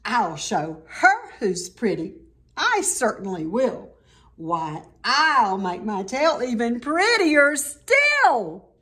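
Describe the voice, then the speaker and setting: A vain young girl bird from a children's storybook, played by a woman reader. The voice is very high and swoops dramatically. She is excitable and emphatic in everything she says. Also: slow, heavily acted. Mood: boastful. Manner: exclaiming, emphatic, pleading and boasting. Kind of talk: children's storybook read-aloud.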